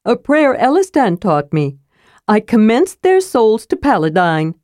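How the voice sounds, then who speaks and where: A woman's voice: a little high, wildly swooping pitch, unhurried, darkish tone, no room sound, clean studio sound, voice pushed up. A woman voicing a small, cheerful, earnest male adventurer in a fantasy audiobook, chirpy and guileless. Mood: solemn, earnest.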